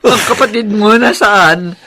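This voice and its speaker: A worried old Filipino grandfather in a radio drama. His sorrowful voice is high, gravelly and slow. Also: bright, forceful tone, full character voice.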